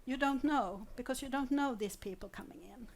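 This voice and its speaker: A woman's voice: high voice, normal speed. A woman panelist explaining her point in a reflective tone.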